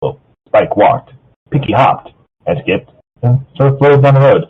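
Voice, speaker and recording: A man's voice: mid-range voice, expressive, unhurried, slightly rough. A man reading fan fiction casually with a group, stumbling over the odd word. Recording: thin phone-like voice chat.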